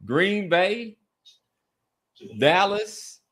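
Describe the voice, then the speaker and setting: A man's voice: high-pitched, lively intonation, extremely slow, a bit bright. A man hosting a casual sports talk podcast, speaking emphatically and often repeating his phrases for weight.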